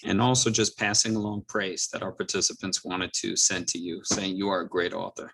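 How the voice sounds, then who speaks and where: A man's voice: low-pitched, little pitch movement, a little fast, vocal fry, fairly bright tone, slight background noise. A man moderating a library talk over a video call, reading out audience questions politely. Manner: polite, reads questions aloud.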